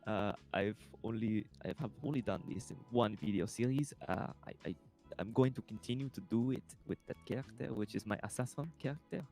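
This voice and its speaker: A man's voice: on the low side, a little fast, creaky, darkish tone, soft background music. A man chatting on a livestream as a voice actor, rambling and correcting himself as he explains how he builds his character accents. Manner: rambling, with many ums and self-corrections. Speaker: streamer and voice actor. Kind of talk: casual livestream chat.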